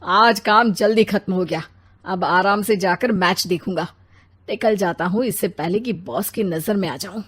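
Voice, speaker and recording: A woman's voice: mid-range voice, moderate pace, fairly bright tone, slightly rough. A woman voicing an irritable, tired office-worker father in a cartoon family story, grumbling and exasperated. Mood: relieved.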